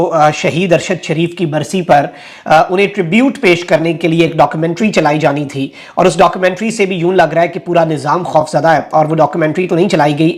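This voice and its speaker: A man with a Pakistani accent presenting political news commentary to viewers, mixing English phrases into his talk. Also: a little high.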